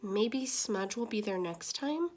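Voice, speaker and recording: A woman's voice: medium pitch, expressive, slow pace, darkish tone, slight background noise. A woman reading as a lonely, shy young boy in a storybook, with a wistful, simple manner. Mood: shyly pleased. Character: lonely, bored, shy young son of a posh mother.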